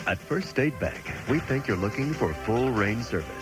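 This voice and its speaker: A man's voice: medium pitch, sing-song, moderate pace. A man reading a scripted radio commercial as its announcer. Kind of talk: scripted radio advertisement read. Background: music.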